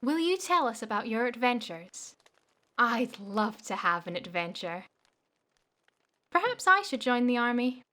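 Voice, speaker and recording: A keen young working-class daughter, voiced by a woman in a radio play. Her voice sits fairly high, and she speaks forthrightly with an adventurous streak. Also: big pitch swings, unhurried, long pauses, pushed and bright, full character voice. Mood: eager.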